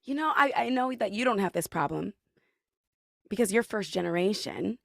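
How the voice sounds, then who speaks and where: Gravelly, expressive woman host. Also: mid-range voice, moderate pace, no echo, clean studio sound. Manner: expressive, exclaims and reacts loudly. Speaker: show host. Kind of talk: casual interview chat.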